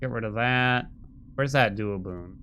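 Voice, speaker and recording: A man's voice: mid-range voice, a little slow, sharp tone, a little hiss or hum. A man in his thirties giving casual, slangy live commentary while streaming a video game. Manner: informal, slangy, swearing. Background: game music under the voice.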